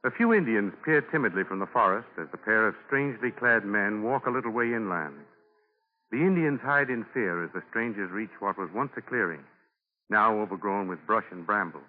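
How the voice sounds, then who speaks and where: A man narrating a 1940s radio drama in a formal, measured storytelling style. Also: lowish voice, lively intonation. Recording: narrow-band 1940s radio broadcast.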